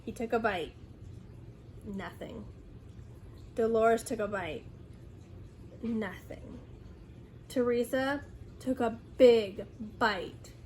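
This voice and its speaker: High-voiced woman reading a story slowly. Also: darkish tone, slight background noise, rough recording. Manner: dramatic, acts out dialogue. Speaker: storyteller reading a children's book aloud. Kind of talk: expressive scripted read-aloud for children.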